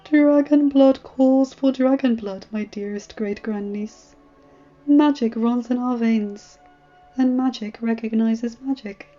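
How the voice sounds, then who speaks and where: A woman voicing a kindly, wise, very elderly male maester in a fantasy story, speaking in a courtly, measured way. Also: a little high. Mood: warm, proud. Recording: muffled audio with music.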